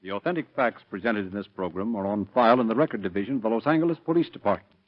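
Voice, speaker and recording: A man's voice: lowish voice, animated, racing. A man announcing and narrating in formal, emphatic 1930s radio style on a muffled old recording. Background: music.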